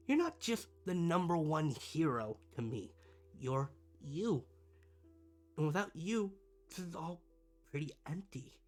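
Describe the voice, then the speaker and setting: A man playing a needy but kind-hearted supervillain in a roleplay ASMR monologue, speaking to the listener in a dramatic, earnest, halting way. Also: a little high. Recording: music behind the voice. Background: background music.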